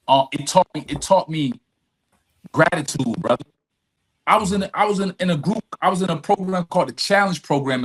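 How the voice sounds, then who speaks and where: A middle-aged man with a somewhat high-pitched voice speaks as an interview guest. He tells personal stories candidly, in informal language, often repeating a phrase to drive it home. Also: a bit bright, slightly rough, rough recording. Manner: emphatic repetition of phrases, informal address.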